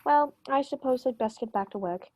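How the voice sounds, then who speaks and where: A woman's voice: sits high, brisk, a little mellow. A woman playing an eccentric, untidy Victorian male detective with an airy, teasing manner in a radio play. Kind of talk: amateur radio play acting.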